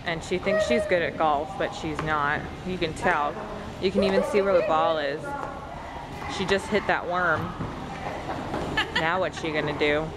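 A woman filming her family at an indoor mini-golf course, narrating with mock-serious, deadpan teasing. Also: low-pitched, unhurried, pauses often. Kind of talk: teasing running commentary. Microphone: handheld camera. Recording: loud noisy venue ambience.

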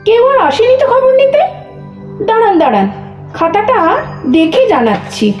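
A woman playing an excitable, easily astonished hospital nurse in an audio drama, exclaiming. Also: very high-pitched, normal speed, roomy sound, muffled recording, faint music behind. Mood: helpful.

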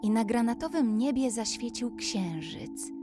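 A woman narrating a scripted bedtime story in a gentle, soothing manner, as if guiding a child toward sleep. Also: a little high, expressive, a little slow. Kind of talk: slow scripted bedtime story with guided relaxation. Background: background music.

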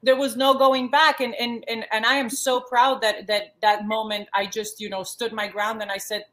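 A woman in her thirties talks earnestly over a laptop video call from her living room. She circles back often, restarting phrases and filling pauses as she speaks. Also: fairly high pitch, flat delivery, pushed and bright. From born in the States, raised in Yugoslavia. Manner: earnest, many restarts and fillers. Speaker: panel guest, former model. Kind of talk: panel discussion on a video call. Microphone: laptop webcam on a video call.

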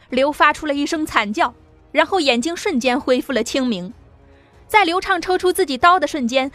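Female audiobook narrator, high voice, steady vivid delivery, faint music under it. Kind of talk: scripted novel narration.

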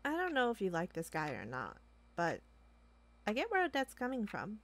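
A woman's voice: mid-range voice, wildly swooping pitch, normal speed, fairly bright tone. A woman playing a playful, nosy office underling in an audio roleplay, with a teasing manner. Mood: teasing, casual. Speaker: voice actor. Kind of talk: amateur audio roleplay acting.

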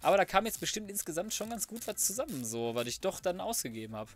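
A man's voice: high voice, very animated, fast talker, faint music behind. A young man gives casual gameplay commentary, thinking aloud in a colloquial, muttering, mildly grumbling way. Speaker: let's play host. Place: indoors, voice-over recorded apart from the game.

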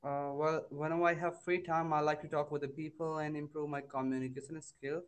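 A man's voice: fairly high pitch, little pitch movement, brisk, smooth. A young man from India with a Bihari Indian accent, a student practicing English on a video call, hesitant and full of fillers like "you know" and "I mean". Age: young adult. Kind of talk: casual conversation lesson.

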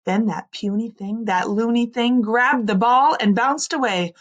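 High-voiced woman reading a children's story. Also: lo-fi sound. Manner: dramatic, drawn-out emphasis on key words. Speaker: teacher reading aloud to children. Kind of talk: expressive children's story read-aloud. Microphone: computer mic on a screen-share video recording.

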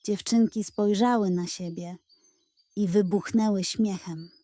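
A woman narrating a scripted children's storybook in a gentle, expressive way. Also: medium pitch, measured pace, no echo, studio quality. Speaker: storyteller of children's bedtime tales.

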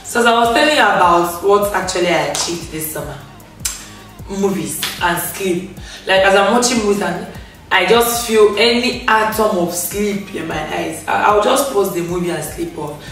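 A young woman chats to camera from her sofa, expressive and quick to laugh, her voice still recovering after she lost it. The room rings with strong echo, and she sits a little back from the mic. Faint music plays underneath. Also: mid-range voice, distant mic. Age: young adult. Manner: chatty, expressive, laughs often, with hand gestures. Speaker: vlogger. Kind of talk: casual storytime rant to camera. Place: indoor living room, on a grey sofa.

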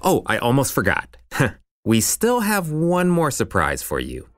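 A man's voice: mid-range voice, wildly swooping pitch, measured pace. A man acting as the playful, sentimental narrator of a romantic comedy audio drama, a storyteller in love with love. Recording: music behind the voice.

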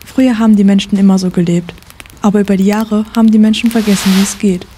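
Woman acting practical young female survivor, mellow dark tone, mid pitch, audio drama, faint noise. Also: fairly even intonation, fast, lightly acted. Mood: matter-of-fact.

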